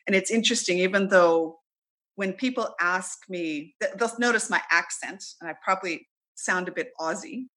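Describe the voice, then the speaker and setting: A woman's voice: on the low side, normal speed, a bit bright, poor audio. A middle-aged woman from Canada, chatting casually as an interview guest, with frequent ums and easy laughs.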